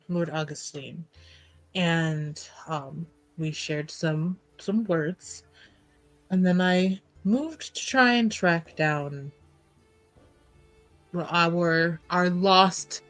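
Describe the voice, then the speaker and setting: A woman with a bright, forward voice plays a tabletop roleplaying game. She improvises her narration at an unhurried pace, sitting a little low in pitch. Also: low-quality recording.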